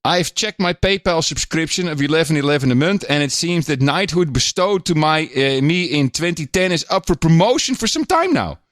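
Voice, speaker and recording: A man's voice: on the high side, brisk, hardly any pauses, no echo, clean studio sound. A man co-hosting a talk podcast, chatting casually, reading donor notes and joking around. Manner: animated, laughing, playful mock accents. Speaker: podcast co-host.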